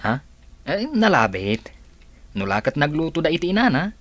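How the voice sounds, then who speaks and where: An actor playing a friendly young construction worker in casual audio drama dialogue. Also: male voice, on the high side, very animated, moderate pace, a bit bright. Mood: chatty.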